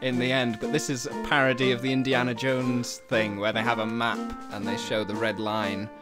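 A man's voice: mid-range voice, level tone, normal speed. A man giving a casual, joking behind-the-scenes audio commentary as co-host and performer. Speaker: co-host, puppet show writer and performer. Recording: music under the talk. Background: musical soundtrack of the show being discussed.

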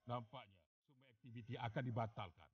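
A man's voice: mid-range voice, fairly even intonation, fast, dull audio, natural voice. Man acting a stern cartoon commander, slightly distant. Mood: grave briefing. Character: stern male commander of animal agents, urgent and authoritative. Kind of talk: animated children's cartoon dubbing.

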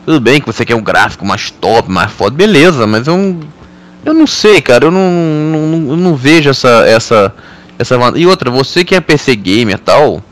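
A man with a Brazilian Portuguese accent hosts a casual gaming podcast in a mid-pitched voice. He rambles and restarts his sentences often. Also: expressive, brisk, a bit bright. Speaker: podcast host.

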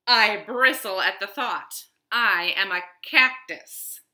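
A woman's voice: medium pitch, takes it slowly, pushed and bright. A woman voicing a prickly cactus with a dry wit. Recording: roomy echo.

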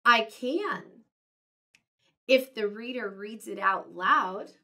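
A woman's voice: on the high side, slow pace, far from the mic, exaggerated voice. A woman voicing bubbly Piggie. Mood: confident. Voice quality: bright, bouncy. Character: cheerful excitable pig, Piggie.

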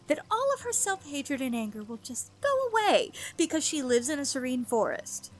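A guarded, world-weary woman, played by an actress in an audio drama. Her voice is high, her delivery firm and measured, with light music underneath. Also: big pitch swings. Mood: wary, firm. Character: guarded, world-weary forest-dwelling woman, stern and principled.

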